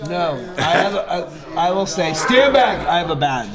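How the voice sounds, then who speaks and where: A man's voice: high voice, very animated, moderate pace. A man playing a tabletop game in a big busy hall, playfully describing what his character does. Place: large convention hall. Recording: live hall, very loud audience noise. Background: loud crowd.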